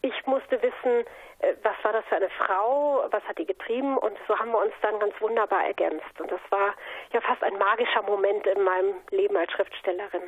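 A woman's voice: mid-range voice, a little fast. A woman from a North Sea island in Germany, an author answering interview questions in a conversational, reflective storytelling way. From North Sea island of Juist, Germany. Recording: narrow phone-like line.

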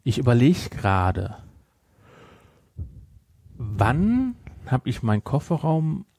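A man's voice: medium pitch, very animated, takes it slowly, a little mellow, dry recording, studio quality. A middle-aged man chatting casually and jokingly as a podcast co-host, rambling as he goes. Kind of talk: casual unscripted podcast chat.

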